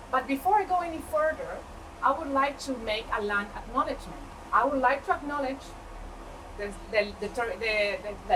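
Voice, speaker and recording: A woman speaking for a community organization, giving formal opening remarks in a halting way, repeating words while she searches for them. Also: a little high. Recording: distant mic with noisy room.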